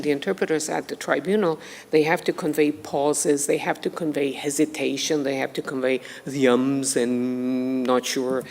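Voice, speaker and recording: Low-voiced woman lecturing in a hall. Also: vocal fry, a little mellow. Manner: measured, many hesitations and restarts. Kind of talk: formal lecture. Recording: long hall reverb.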